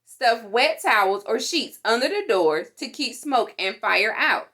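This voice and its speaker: Female storytime reader for children's safety book; higher register, highly animated and instructive. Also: unhurried. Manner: animated, instructive, acts out sound words. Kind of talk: expressive read-aloud of a children's safety book.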